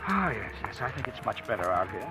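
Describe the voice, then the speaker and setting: An actor playing a smooth, menacing blackmailer who is mockingly polite and taunting, in a 1940s radio drama style. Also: male voice, fairly low pitch, very animated, sharp tone, heavily acted. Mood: smug. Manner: suave, taunting, deliberate drawn-out politeness. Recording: old radio drama recording, music underneath.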